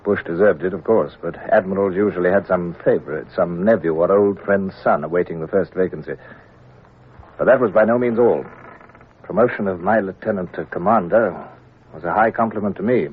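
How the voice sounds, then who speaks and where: A man's voice: low-pitched, fairly quick, slightly rough. An actor playing a proud, brusque naval captain who narrates his own story in a 1950s radio drama. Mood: brooding. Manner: clipped, brusque commands. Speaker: narrator and lead. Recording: tinny old radio broadcast, faint music.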